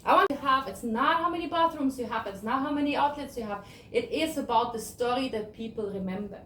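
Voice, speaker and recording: High-voiced woman telling her story. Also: brisk, a little hiss or hum. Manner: animated storytelling, acts out dialogue. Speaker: guest speaker. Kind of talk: motivational talk to an audience. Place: event space, sunset gathering. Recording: echoey room, distant mic.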